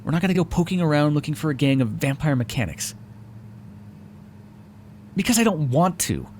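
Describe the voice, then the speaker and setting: A man's voice: fairly high pitch, sing-song, a little fast, darkish tone, a little hiss or hum. A man voicing a sarcastic, foul-mouthed vampire who is grudgingly helpful and hungry, speaking intimately to the listener in an audio roleplay. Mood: exasperated. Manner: sarcastic, wry, exasperated, conversational. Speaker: roleplay voice actor.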